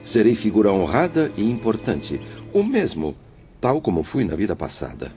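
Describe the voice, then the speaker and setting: A man's voice: fairly low pitch, huge pitch swings, moderate pace, dark tone. A man playing a remorseful, solemn male spirit awaiting rebirth, speaking formally in an audiobook drama. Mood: grave, determined. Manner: grave, formal, declamatory. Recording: dull lo-fi audio with music behind.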